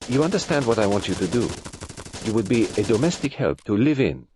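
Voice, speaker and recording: A man playing a polite, brisk foreign detective with a French-speaking accent and formal, precise phrasing in an audiobook. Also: lowish voice, expressive, background noise, pitched above the performer's own voice, natural voice. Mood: brisk, urgent.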